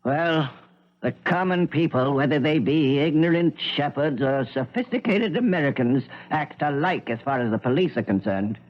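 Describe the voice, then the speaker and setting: A man plays a prince's formal, deferential younger brother with a lightly high male voice. His diction is measured and haughty, in old radio drama style with dulled broadcast sound. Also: lightly acted. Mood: formal, instructive. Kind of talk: 1970s radio drama acting. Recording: dull lo-fi 1970s radio broadcast.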